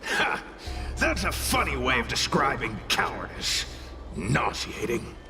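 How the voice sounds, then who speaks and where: A man's voice: medium pitch, huge pitch swings, a little slow, music in the background. A man voicing a brash, cantankerous tail spirit in a video game, scornful and blustering. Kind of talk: video game character acting.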